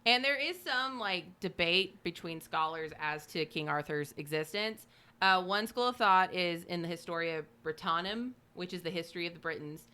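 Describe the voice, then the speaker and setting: Bright-voiced woman reading notes conversationally. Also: on the low side, normal speed, smooth. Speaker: podcast co-host. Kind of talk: reading notes aloud with casual asides.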